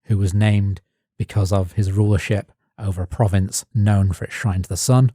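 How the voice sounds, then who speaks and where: A man co-hosting a podcast, reading his prepared notes as measured narration. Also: on the low side, little pitch movement, warm tone, breathy edge, no echo, studio quality. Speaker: podcast co-host. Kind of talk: scripted narration.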